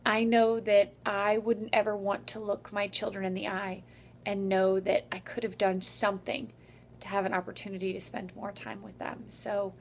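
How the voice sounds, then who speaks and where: A woman in her forties talking to her followers in a personal vlog, earnest and measured, with pauses between phrases. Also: mid-range voice, level tone, a little hiss or hum. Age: 40s. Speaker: vlogger.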